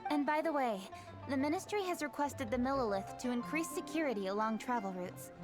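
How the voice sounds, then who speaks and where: A woman's voice: high voice, animated, a little fast. An actress playing a dutiful young lady official in a video game, with a formal, businesslike manner. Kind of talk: animated video game voice acting. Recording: clear music behind the voice. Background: music.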